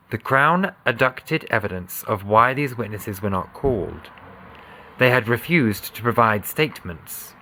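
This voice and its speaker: A man giving a sober, measured, formal reading of a scripted legal document. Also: lowish voice, bright, forceful tone. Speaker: voice reader of a court judgment.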